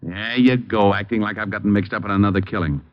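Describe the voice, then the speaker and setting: An actor in an old radio drama playing a cocky private detective who trades wisecracks and teases the police. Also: male voice, fairly low pitch, steady tone, fast talker, natural voice. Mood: teasing. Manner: wisecracking, teasing. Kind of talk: 1940s radio acting. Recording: dull lo-fi old radio recording.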